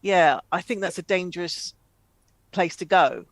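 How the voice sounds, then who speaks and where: Female co-host for a casual comedy chat show, discursive and halting, recorded very dry. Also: on the low side, dry recording.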